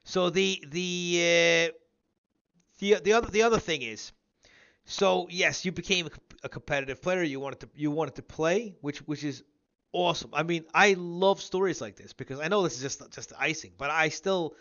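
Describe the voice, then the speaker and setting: A man's voice: a little high, normal speed, fairly bright tone, dull audio. A man of about fifty hosting a casual gaming interview, talking in a rambling, repetitive, emphatic way. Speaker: show host interviewing a guest.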